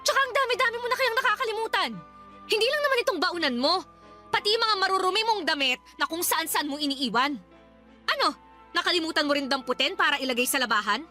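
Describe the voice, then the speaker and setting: High-pitched, exasperated Filipino young wife. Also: female voice, expressive, fast, sharp tone, faint music behind, exaggerated voice. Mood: angry, scolding. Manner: scolding, pleading, emphatic rhetorical questions. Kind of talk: Filipino radio drama acting.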